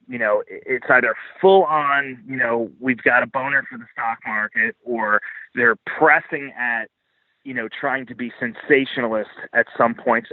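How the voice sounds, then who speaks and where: Male podcast guest, mid-pitched, casual and opinionated, swears freely, talks bluntly, very little room sound. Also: no echo, narrow, tinny audio. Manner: blunt, profane, informal. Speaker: podcast guest, financial commentator. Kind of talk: casual interview talk, opinionated commentary.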